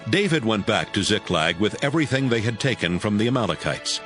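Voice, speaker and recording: Low-pitched, very expressive male scripture narrator. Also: fairly quick. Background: music.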